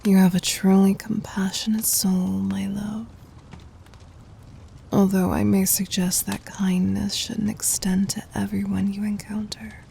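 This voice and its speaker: Woman voicing a doting demon queen, possessive, regal, breathy whisper, creaky edges, dark soft tone, intimate ASMR roleplay. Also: mid-range voice, flat delivery, slight background noise. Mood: tender, seductive. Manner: slow, formal, regal endearments.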